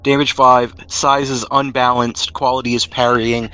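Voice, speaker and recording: A man's voice: medium pitch, little pitch movement, moderate pace, sharp tone, smooth, poor audio. A man acting as game master, describing scenes and rules in a descriptive, conversational storytelling way. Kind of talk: narrating a tabletop role-playing game. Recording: noticeable background noise.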